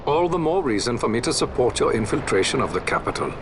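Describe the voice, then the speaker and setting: Man acting stately, expressive nobleman leading a resistance. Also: medium pitch, background noise, natural voice. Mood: firm, calculating. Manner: measured, stately, archaic phrasing. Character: grave noble marquis, shrewd resistance leader narrating his war. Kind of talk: formal fantasy game voice acting.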